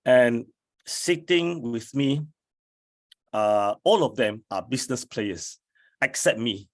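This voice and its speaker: Hoarse, slow-talking male lecturer. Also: medium pitch, lively intonation. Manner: slow, deliberate, many fillers. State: hoarse from flu. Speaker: academic lecturer. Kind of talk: slide lecture.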